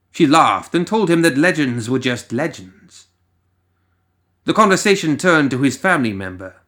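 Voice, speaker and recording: A man reading a scripted story as narrator, in a theatrical, ominous manner with lingering pauses. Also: mid-range voice, a bit bright.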